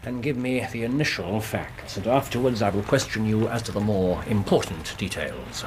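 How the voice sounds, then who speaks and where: An actor playing a courteous, authoritative detective in a radio drama, with a wry, incisive manner. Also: male voice, on the low side, animated, moderate pace, noisy background, close to an everyday voice. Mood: commanding, solicitous. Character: brisk, courteous, incisive English detective in command.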